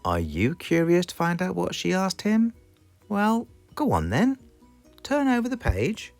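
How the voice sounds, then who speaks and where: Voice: medium pitch, a little slow, no echo. A British narrator reading a children's story expressively, drawing the listener in. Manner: expressive, asks the listener questions. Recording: music playing behind the voice.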